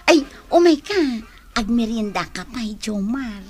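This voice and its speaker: An actress in an Ilocano radio drama playing a flirtatious, playful sister-in-law, with a coy manner and an Ilocano accent. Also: female voice, a little high, big pitch swings, unhurried, darkish tone, soft background music.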